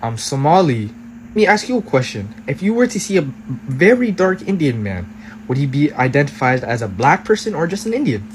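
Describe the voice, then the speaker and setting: Assertive man, higher-pitched, animated delivery. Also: moderate pace, slight background noise, rough recording. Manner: assertive, questioning. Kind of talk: short clip monologue.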